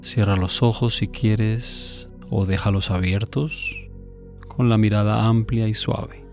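Slow, low-pitched man guiding meditation. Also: gravelly, soft background music. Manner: calm, gentle instructing with long pauses. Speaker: meditation guide. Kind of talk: guided meditation.

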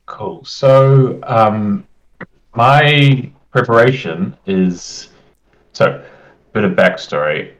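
A man's voice: mid-range voice, extremely slow, low-quality recording. A man from New Zealand with a New Zealand accent, a guest answering interview questions in a chatty, rambling way. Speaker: guest, tournament winner.

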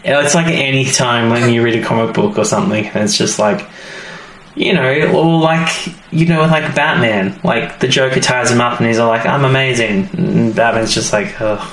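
A man's voice: mid-range voice, moderate pace, a bit bright, reverberant, rough recording. A man co-hosting a podcast, chatting casually and jokingly in loose, colloquial phrases. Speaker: podcast co-host. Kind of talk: casual chat, recapping a story.

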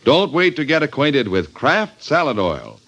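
A man's voice: on the high side, big pitch swings, a little slow, a bit bright, highs cut off. A man announcing and narrating a scripted 1950s radio show. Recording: noisy old radio recording.

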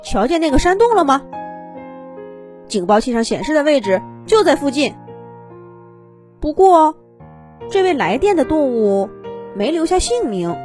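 Woman as a brisk, high-voiced clever little mouse. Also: sing-song, a little mellow, soft background music. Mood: eager. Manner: brisk, teasing, take-charge.

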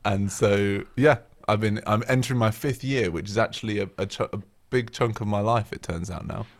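A man in his twenties from England, his voice a little low, talks hesitantly with many ums. He is self-deprecating and relaxed. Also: normal speed, vocal fry. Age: 20s. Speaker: church worship and compassion leader. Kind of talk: casual chat.

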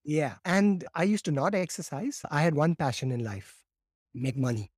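A man in his fifties, raised in India, telling his story as a podcast guest in a lively way, often acting out quotes and repeating words as he starts. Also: fairly high pitch, moderate pace, husky, dry recording, studio quality. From born in Morocco, raised in India. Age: 50s. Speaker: guest, laughter yoga teacher.

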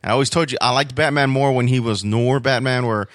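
A man with a mid-pitched voice talks as a podcast guest. He rambles informally and with a fan's enthusiasm, and the sound is close and dry. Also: quick pace, no echo. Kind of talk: casual chat about comics.

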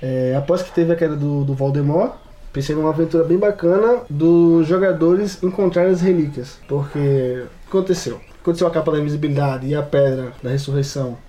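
A man's voice: on the high side, a little fast, dark tone. A man with a Brazilian Portuguese accent chatting casually as a podcast co-host and game master.

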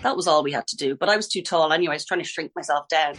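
A woman's voice: low-pitched, very fast, a bit bright. A middle-aged Irish woman, a ballet teacher, chatting in a podcast interview, talking freely, restarting sentences and laughing easily. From Ireland.

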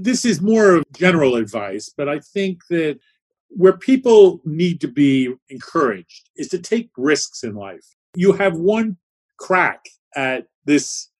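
A man's voice: fairly high pitch, slightly rough. A man interviewed as a guest, answering in a reflective, storytelling way and often checking in with "right?". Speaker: guest, business consultant.

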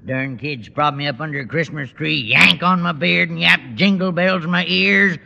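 Creaky, high-voiced cranky old man. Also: fairly even intonation, moderate pace, bright, forceful tone, dull audio, full character voice. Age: 80s. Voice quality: creaky, gravelly. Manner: crotchety, slangy, spirited. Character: cranky, lively, girl-chasing 87-year-old man. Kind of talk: 1940s-50s radio comedy acting. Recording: old radio recording, reverberant, faint noise.

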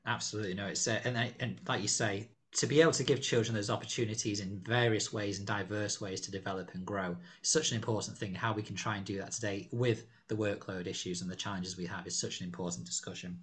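A man with a lowish voice hosting a podcast, talking quickly. He questions his guest in an encouraging way, with plenty of "uh"s and "well"s along the way. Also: fairly even intonation, a bit bright. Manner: encouraging, uses fillers. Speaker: podcast host. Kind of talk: interviewing a guest.

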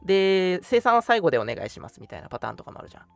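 A man's voice: high-pitched, very animated, very fast, gravelly. A young man hosting a radio show in a casual, agreeable chat. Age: young adult. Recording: music playing behind the voice. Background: background music.

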